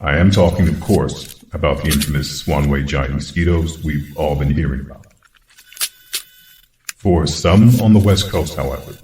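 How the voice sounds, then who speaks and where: A man playing a grave, authoritative in an audio drama, speaking with measured weight. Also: a bass voice, a little mellow. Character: grave, secretive male President of the United States. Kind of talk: audio drama acting, briefing and formal speech. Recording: echoey, distant, faint noise.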